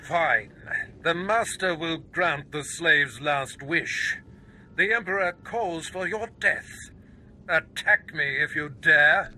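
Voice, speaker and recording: An actor playing an arrogant, wrathful dark lord in a video game, declaiming in a pompous, theatrical, menacing way with a gravelly, forceful voice. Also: male voice, on the high side, slow, slight background noise, exaggerated voice, low-quality recording. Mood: menacing, taunting. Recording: music under the voice.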